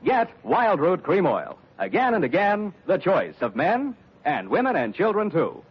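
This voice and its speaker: A man's voice: high-pitched, wildly swooping pitch, a little slow, slight background noise. A male radio announcer reading a commercial and closing credits in a polished, emphatic salesman style. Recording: muffled vintage radio broadcast with faint music.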